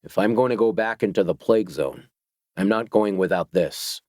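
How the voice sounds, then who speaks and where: A man voicing a guarded, tense male doctor on the run in an audiobook, low and clipped. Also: normal speed, darkish tone, no echo, studio quality, lightly acted.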